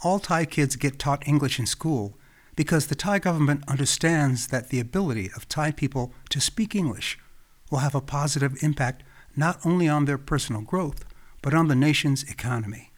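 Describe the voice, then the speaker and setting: An American man from Los Angeles, hosting his own podcast with calm, reflective scripted narration. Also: fairly high pitch, moderate pace. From Los Angeles, California. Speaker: podcast host.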